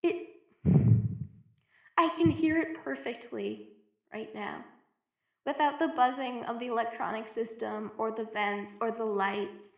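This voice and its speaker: An echoey audio drama log performed by a woman from an isolated young female researcher. Her voice is high and anxious. She speaks slowly and haltingly, stammering as she feels her way through each thought in fear. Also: fairly even intonation, gravelly. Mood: hushed dread. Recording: echoey roomy recording.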